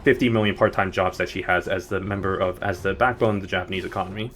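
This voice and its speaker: A man's voice: low voice, fairly even intonation, fast, a bit bright, faint music behind. A man streaming a game, chatting casually in a rambling, jokey way and correcting himself as he goes.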